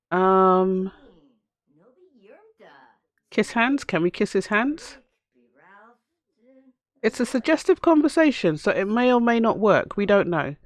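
Hoarse woman gamer, casual running commentary, chats to her game characters, dry close sound. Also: mid-range voice, no room sound. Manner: chatty, talks to the game characters. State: hoarse from a cough. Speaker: let's play host.

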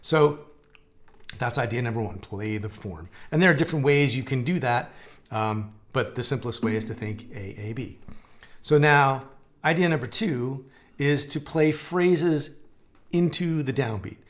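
A man in his forties teaching a guitar lesson in a relaxed, conversational way, his voice a little gravelly from a dry throat. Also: mid-range voice, measured pace. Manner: relaxed, conversational, explaining with hand gestures and demonstrating. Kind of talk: live-streamed guitar lesson. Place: home music room with guitars on the walls.

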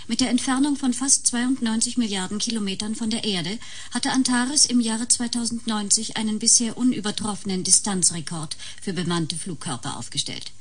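An actress playing a formal news announcer, reading a measured bulletin in a science-fiction radio drama. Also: female voice, mid-range voice, slight background noise, lightly acted.

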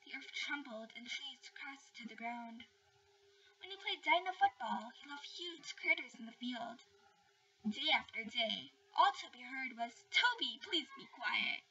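A woman reading a bedtime story aloud with expressive, deliberate storybook phrasing, recorded indoors at home. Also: high-pitched. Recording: distant, muffled home recording with faint noise.

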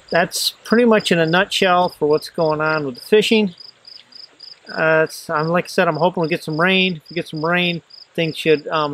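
The folksy American guy at the riverbank who tells you how the day is going, voice a bit high, faint insect noise around him. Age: middle-aged. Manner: folksy, plain-spoken. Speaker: fishing guide. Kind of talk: casual spoken fishing report. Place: outdoors in an open riverside meadow. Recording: outdoor insect and background noise.

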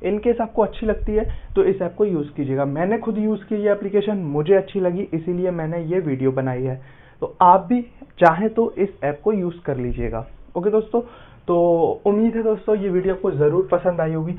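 A man's voice: high voice, normal speed, phone-quality sound. A man giving an app tutorial voice-over as a YouTube host, often addressing his viewers as friends.

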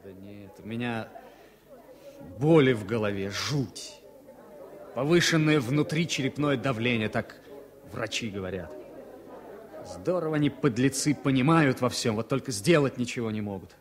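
A male actor plays a 1970s radio-drama veteran, thirty-four and already pensioned off. He is irritable and ailing, and he grumbles in halting phrases at a middling male pitch. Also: animated, lightly acted. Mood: weary, bitter. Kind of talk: 1970s Soviet radio play acting.

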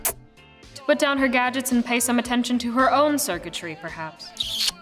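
A woman playing an arch, knowing narrator, reading scripted radio-play narration in a literary, deliberate manner. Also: a little high, expressive, fairly bright tone, soft background music. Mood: wry.

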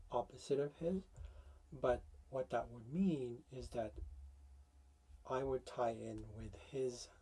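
A man in his forties talks to the camera from a small room with foam-paneled walls. His mellow voice has a husky edge, and he thinks out loud, stopping and restarting as he works through figures. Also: medium pitch. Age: 40s. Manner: thinks aloud, working out sums with many restarts. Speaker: astrology video host. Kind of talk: casual explanatory talk to camera.

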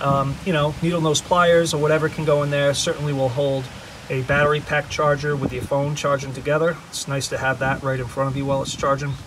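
A man casually walking the viewer through his gear outdoors, relaxed and chatty with frequent ums and restarts, recorded on a handheld camera. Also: mid-range voice, slight background noise, poor audio. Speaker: outdoors vlogger. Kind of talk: casual show-and-tell walkthrough. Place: outdoors beside a canoe.

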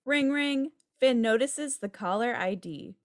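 A woman's voice: fairly high pitch, animated, unhurried, dry recording, clean studio sound. A woman reading out stage directions as scripted narration at a table read. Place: indoor room, on a video call. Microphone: video call microphone.

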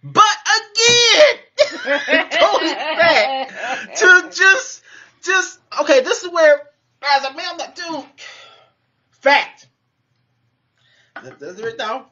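Guy in his 30s, teasing, laughs a lot, bright voice, lo-fi webcam sound from the kitchen. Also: very high voice, very animated, very slow, long pauses. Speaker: co-host. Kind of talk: casual live-stream chat. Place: home kitchen, indoors. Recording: muffled lo-fi webcam audio.

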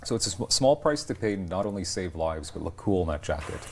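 A middle-aged man hosts a studio talk show. His voice is low, and he puts his questions conversationally at a quick pace. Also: expressive, slight background noise. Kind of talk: conversational interviewing. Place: TV studio, indoors.

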